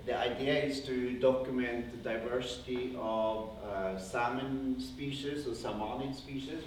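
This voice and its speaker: A man with a Norwegian accent gives an informal slide lecture to an audience, speaking hesitantly and often rephrasing himself as he rambles along. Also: mid-range voice. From Norway. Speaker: conservation project founder giving a guest lecture. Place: indoor university lecture room. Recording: very echoey room, distant mic, faint noise.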